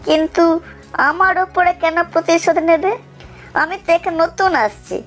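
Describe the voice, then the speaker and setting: A woman's voice: very high-pitched, steady tone, moderate pace, soft background music. A woman voicing a timid young servant in a children's cartoon, speaking in an earnest, deferential, pleading way. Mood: puzzled, fearful. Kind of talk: children's cartoon story dialogue.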